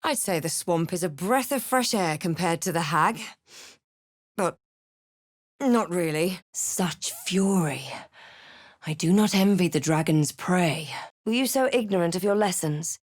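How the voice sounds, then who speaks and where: A woman voicing a wary, composed adventurer heroine for a fantasy video game, with measured, dramatic delivery. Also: on the low side, lively intonation, no room sound, studio quality. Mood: wry, measured.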